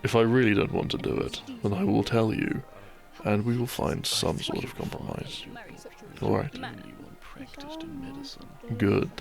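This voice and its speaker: A man playing a devoted, tender doctor and newlywed groom in a romantic audio roleplay, speaking softly and earnestly to his beloved. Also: lowish voice, a little slow, gravelly, long pauses, soft background music. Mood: fond, relaxed.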